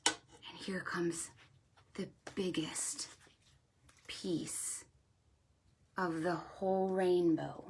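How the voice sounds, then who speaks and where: A woman leading a children's storytime, speaking in an animated sing-song way with exclamations and questions for young listeners. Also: fairly high pitch. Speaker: children's librarian hosting storytime. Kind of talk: children's storytime with read-aloud and pretend play.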